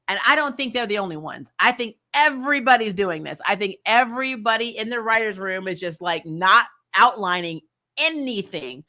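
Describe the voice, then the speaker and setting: A woman's voice: mid-range voice, bright, forceful tone. A woman hosting a casual, opinionated live review, talking in a blunt, exasperated, sweary way and laughing as she rants. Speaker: host of a TV review show.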